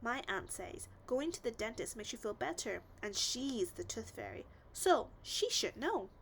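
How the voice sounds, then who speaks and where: A woman's voice: on the high side, sing-song, a little slow, creaky, fairly bright tone, slight background noise, full character voice, poor audio. A woman voicing a confident, helpful young fairy girl in a storybook.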